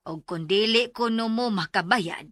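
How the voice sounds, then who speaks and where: Actress as a concerned Cebuano aunt. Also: female voice, mid-range voice, normal speed, fairly bright tone, no echo, clean studio sound, close to an everyday voice. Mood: worried. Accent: Cebuano Filipino. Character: concerned aunt relaying grim threats to her nephew. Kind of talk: Filipino Bisaya radio drama acting.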